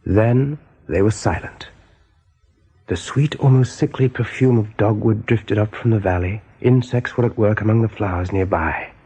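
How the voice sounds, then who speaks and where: A man narrating a radio play in a measured, literary storytelling manner. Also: fairly low pitch, mellow, breathy edge.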